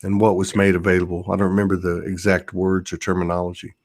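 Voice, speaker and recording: A man's voice: low voice, little pitch movement, quick pace. A man talking casually and reflectively about spiritual experiences, circling back and rephrasing as he goes.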